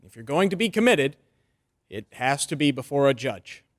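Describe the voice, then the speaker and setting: A man's voice: mid-range voice, big pitch swings, normal speed, no room sound, clean studio sound, natural voice. A man playing an earnest, loyal lawyer in an old-time radio drama. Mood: urgent. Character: earnest, loyal young male lawyer pleading for his friend.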